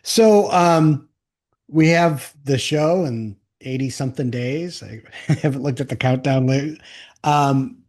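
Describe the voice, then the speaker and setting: A man's voice: medium pitch, a little slow, darkish tone. A man hosting an interview podcast in a casual chat style, thinking aloud in loose, rambling sentences.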